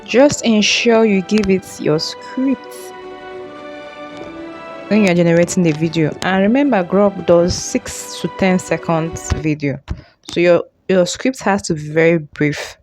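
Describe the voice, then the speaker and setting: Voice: mid-range voice, a little slow, darkish tone. A tutorial host explaining things informally, often repeating and rephrasing along the way. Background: music.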